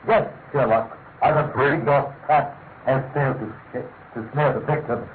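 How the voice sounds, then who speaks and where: A man plays a fussy gentleman in a vintage radio play. The medium-pitched voice grumbles and stammers under clear hiss on a tinny, narrow recording. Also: normal speed, natural voice. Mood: grumbling. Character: fussy, complaining English gentleman, detective's brother. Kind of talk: old-fashioned British radio drama acting.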